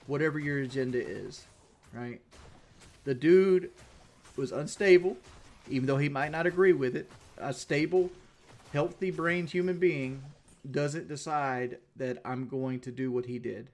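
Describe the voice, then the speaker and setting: A man chatting casually on a livestream, rambling and blunt, swearing freely and repeating himself as he talks to his viewers. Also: medium pitch. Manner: repeats himself and restarts often.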